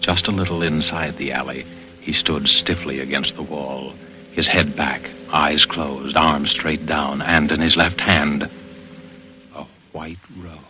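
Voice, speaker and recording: An ominous radio storyteller with an extremely deep voice. He narrates a vintage thriller gravely and deliberately, over prominent music on a dull old recording. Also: steady tone, bright, forceful tone, full character voice. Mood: hushed, ominous. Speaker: announcer and narrator. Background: dramatic music.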